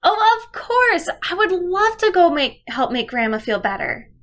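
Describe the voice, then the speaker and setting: Lady doing a sweet eager little storybook girl, really high bright voice. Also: sing-song, a little slow, exaggerated voice. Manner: eager, helpful.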